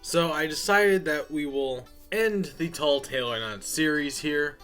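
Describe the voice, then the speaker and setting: A man's voice: a little high, a little slow, fairly bright tone, soft background music. A man giving a casual, unscripted update as a channel host, talking informally in a folksy way and addressing viewers as y'all.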